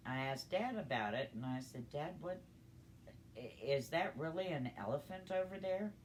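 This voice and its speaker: An older woman telling a personal story in a conversational, halting, unhurried way. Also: sits low, lively intonation, slight background noise. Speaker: storyteller grandmother.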